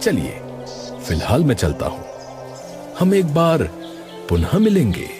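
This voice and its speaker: A man voices a kindly, knowing holy man in a cartoon tale. He speaks in a measured, solemn way with wide swings of pitch over soft music. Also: wildly swooping pitch, heavily acted. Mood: calm, knowing. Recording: music under the voice.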